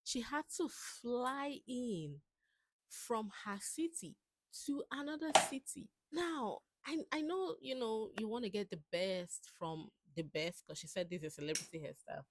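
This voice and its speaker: A woman's voice: fairly high pitch, measured pace, a little hiss or hum. A woman giving casual reaction commentary, emphatic and fond of repeating her phrases. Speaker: video commentator.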